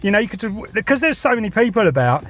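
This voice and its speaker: Higher-voiced southern English man on CB. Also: big pitch swings, fast talker, fairly bright tone. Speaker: CB radio operator filming a vlog. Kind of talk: casual radio chat. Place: inside a parked vehicle. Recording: music and radio sounds inside a vehicle.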